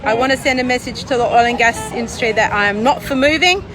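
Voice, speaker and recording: A woman's voice: on the high side, fast talker. An older woman telling her protest story in a chatty, light-hearted way outdoors. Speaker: arrested protester. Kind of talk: casual storytelling interview. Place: outdoor city square. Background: live music nearby.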